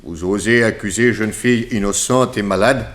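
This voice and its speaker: An actor playing a suspicious, self-assured detective inspector in a 1960s French radio drama, putting clipped questions. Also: male voice, fairly low pitch, normal speed, fairly bright tone, close to an everyday voice. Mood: accusing.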